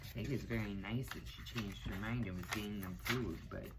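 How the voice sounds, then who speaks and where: A middle-aged woman reading a children's picture book aloud, pausing for asides to her young listeners. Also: extremely low pitch, very noisy. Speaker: children's story time reader, teaching assistant. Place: small cluttered room indoors.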